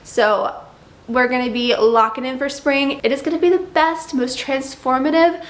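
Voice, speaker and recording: A woman's voice: high voice, fairly even intonation, reverberant. A young woman vlogging in a chatty, bubbly way, her voice still a little nasal from congestion. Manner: bubbly, chatty, drawn-out emphasis. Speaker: lifestyle vlogger. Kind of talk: chatty vlog talk to camera.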